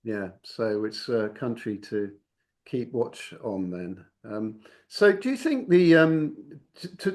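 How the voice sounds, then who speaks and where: A man hosting an interview, asking long, winding questions full of fillers and restarts. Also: medium pitch. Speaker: interviewer, host. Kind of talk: conversational interview.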